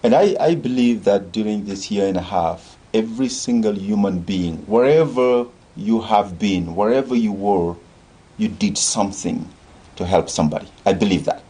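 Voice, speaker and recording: Husky earnest man from Senegal. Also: lowish voice, moderate pace, dark tone. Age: older. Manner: earnest, rallying, repeats phrases for emphasis. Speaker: organization leader. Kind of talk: talk show discussion.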